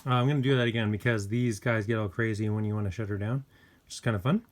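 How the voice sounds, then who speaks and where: A man giving a casual hands-on gadget review, speaking informally and hesitantly with pauses mid-thought. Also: fairly low pitch. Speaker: product reviewer. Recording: clear background noise with clicks.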